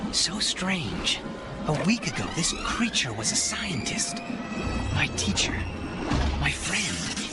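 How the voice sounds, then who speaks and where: Anguished young cartoon superhero, dramatic and animated, big music blaring behind. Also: male voice, a little high. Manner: earnest, dramatic. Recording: cartoon clip under loud music.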